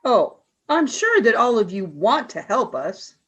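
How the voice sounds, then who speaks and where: A woman's voice: on the high side, sing-song, a little slow, fairly bright tone, slightly rough, full character voice. A woman voicing a cold, suspicious alien woman leader who speaks in clipped lines in a radio play. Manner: clipped, curt questions and statements. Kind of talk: amateur radio play acting.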